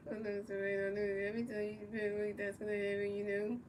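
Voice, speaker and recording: A woman's voice: medium pitch, completely flat delivery, fairly quick. A woman from the United States doing casual, repetitive play-by-play for a baseball video game, stumbling over her calls and talking to her chat viewers. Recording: distant mic with faint background noise.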